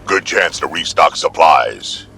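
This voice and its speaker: A man playing a gruff, hard-bitten squad companion in a video game. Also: a bass voice, lively intonation, measured pace, pushed and bright, a little hiss or hum, exaggerated voice. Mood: grim.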